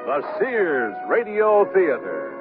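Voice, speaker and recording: Animated male announcer over loud music. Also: fairly high pitch, slow, narrow, tinny audio. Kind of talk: polished radio announcing. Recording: thin radio broadcast with loud music.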